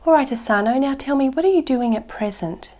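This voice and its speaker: A woman's voice: high-pitched, expressive, fairly quick, darkish tone. A woman playing an exam interviewer, asking questions in a polite, formal way. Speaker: examiner.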